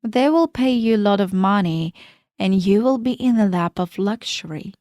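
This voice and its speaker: A woman's voice: mid-range voice, animated, normal speed, darkish tone, dry recording, clean studio sound, lightly acted. A woman reading the lines of a pushy man making promises in a story. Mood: insistent.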